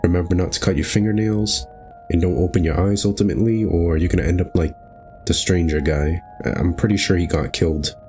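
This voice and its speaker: A man's voice: low voice, steady tone, fairly quick, a little mellow, faint music behind. A man hosting a gaming video, reading visual novel narration aloud and adding casual commentary.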